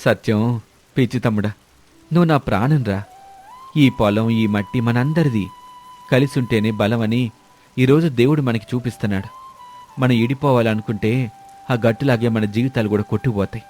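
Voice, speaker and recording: A man's voice: medium pitch, moderate pace, pauses often, a little mellow, faint music behind, natural voice. A man playing a protective, earnest elder brother from a village family in a moral story. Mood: affectionate, moved. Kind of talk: moral story character dialogue.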